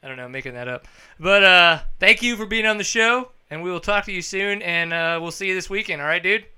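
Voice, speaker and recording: Bright, loud, joking male podcast host. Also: sits high, a little fast, smooth. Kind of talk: casual podcast chat with ad reads.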